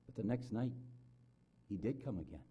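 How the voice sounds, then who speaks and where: An earnest male preacher with a husky, mellow voice. He swings from strong, loud lines to soft, low ones to press his point. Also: expressive, normal speed, highs cut off. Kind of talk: sermon with personal story.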